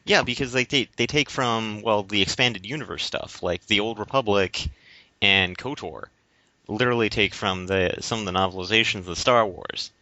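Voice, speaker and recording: Podcast guy, lowish voice, hesitant roundabout explaining, dry close sound but lo-fi. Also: moderate pace, bright, forceful tone, no room sound. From United States. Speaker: podcast co-host. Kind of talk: casual explanatory discussion. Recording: dull lo-fi audio.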